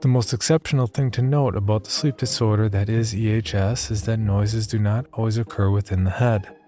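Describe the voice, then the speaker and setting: Low-voiced man narrating horror over faint music. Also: steady tone, fairly quick, mellow. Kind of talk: scripted first-person horror narration.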